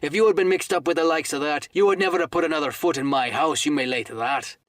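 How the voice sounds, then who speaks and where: A man voicing a hearty, cunning one-legged pirate landlord, booming and theatrical. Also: steady tone, normal speed, a bit bright, dry recording. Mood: hectoring.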